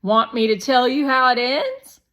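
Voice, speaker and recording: Lady doing a hyper little kid boy for a storybook, loud n pushy, high bouncy voice. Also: big pitch swings, a little slow, heavily acted. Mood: pestering. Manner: boisterous, eager, blurting. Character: loud, pushy, chattering, energetic young boy. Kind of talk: children's storybook read-aloud.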